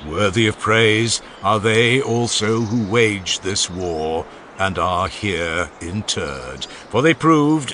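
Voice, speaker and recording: A man narrates a classical funeral speech in a solemn, declamatory style. His voice sits fairly low yet sounds bright and forceful, rising and falling sharply for emphasis. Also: a little hiss or hum. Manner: solemn, declamatory oratorical delivery with wide swings in emphasis. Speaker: audiobook narrator. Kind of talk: dramatized audiobook reading of a funeral oration.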